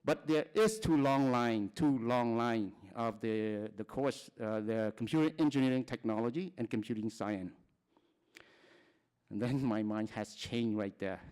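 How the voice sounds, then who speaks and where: Smooth-voiced man from Cambodia, halting. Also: medium pitch, a little mellow. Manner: plain, halting talk with frequent restarts and short laughs. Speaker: conference speaker. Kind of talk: personal testimony at a conference.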